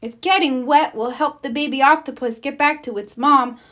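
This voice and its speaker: A woman's voice: high-pitched, normal speed, fairly bright tone, pitched above the performer's own voice. A woman voicing an eager, brave young male rescue puppy in a storybook, speaking earnestly. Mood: determined.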